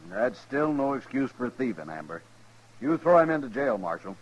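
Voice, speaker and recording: An indignant frontier shopkeeper with a husky, mid-pitched voice. He is stern, scolding and righteous, with an American accent. The style is old radio western drama. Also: big pitch swings, normal speed, dull audio. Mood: outraged, bitter. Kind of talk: 1950s radio western acting.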